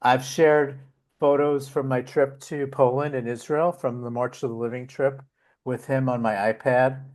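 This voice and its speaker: A man's voice: medium pitch, little pitch movement, measured pace, a little mellow. A man, a guest volunteer, talking casually in an interview, with a rambling delivery full of fillers and restarts.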